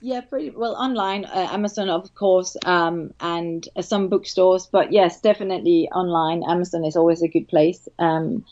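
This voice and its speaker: A woman's voice: on the low side, normal speed, hardly any pauses, a little mellow, muffled recording. A woman with a Danish accent, a guest on a podcast, talking casually and enthusiastically about her work. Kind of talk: casual interview chat.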